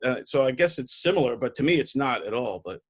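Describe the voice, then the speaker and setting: Chatty Long Island host. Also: male voice, medium pitch, animated, fast talker, slightly rough. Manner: laughs a lot, chatty. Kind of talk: casual interview.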